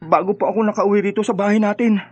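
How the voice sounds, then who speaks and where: High-voiced, dramatic young suitor. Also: male voice, steady tone, quick pace, a little mellow, dull audio, soft background music. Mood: shaken. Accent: Filipino. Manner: stammering, dramatic. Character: young male suitor, superstition-struck, timid, excitable son. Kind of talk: horror radio drama acting.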